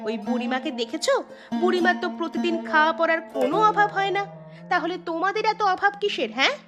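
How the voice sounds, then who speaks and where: A woman's voice: very high voice, fast talker, music in the background. A woman voicing a nagging, demanding village wife in a cartoon, scolding and insistent. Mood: angry, demanding. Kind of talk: Bengali cartoon dubbing.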